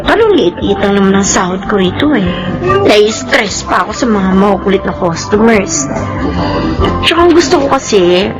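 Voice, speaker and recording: An actress playing a coaxing young mistress in a Filipino radio drama, with a Filipino accent. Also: female voice, medium pitch, lively intonation, normal speed, close to an everyday voice, poor audio. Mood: coaxing, sweet complaint. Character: coaxing young mistress fed up with her bar job. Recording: prominent music under the dialogue. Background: music.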